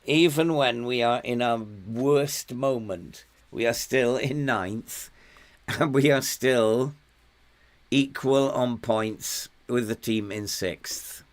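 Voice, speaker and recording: A slow, unhurried older man from England talks through a football management game, half to himself. His voice is hoarse and worn, close to giving out, and his pitch sits in the ordinary middle range for a man. He mutters his reactions as the match plays out. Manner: muttering, talking to himself while playing. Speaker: gaming YouTuber. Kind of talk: casual gameplay commentary.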